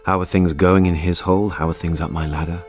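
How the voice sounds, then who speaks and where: A man's voice: low voice, fairly even intonation, fast, darkish tone, soft background music, natural voice. A man plays a war veteran turned restorer in a radio drama. He is wry and polite, with a weary, reflective way of speaking.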